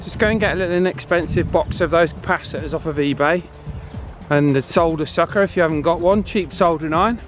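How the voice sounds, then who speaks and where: A man hosts a casual hobby vlog outdoors in a slightly higher-pitched voice. He chats informally and explains things in an encouraging, down-to-earth way. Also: fast talker, music in the background. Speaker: vlog host demonstrating radio repair. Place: outdoors on a walk.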